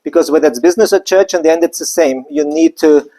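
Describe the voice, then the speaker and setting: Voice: mid-range voice, fast talker, warm tone. A speaker from Germany, giving a live business presentation in a loose, conversational way with many side remarks. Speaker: company presenter.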